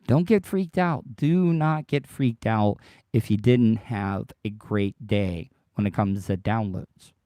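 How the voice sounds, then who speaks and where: A man hosting his own podcast livestream, rambling and repeating himself as he talks straight to his listeners, his voice worn deeper than usual by tiredness. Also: slow pace, mellow, no room sound. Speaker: podcast host. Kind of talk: casual solo livestream monologue.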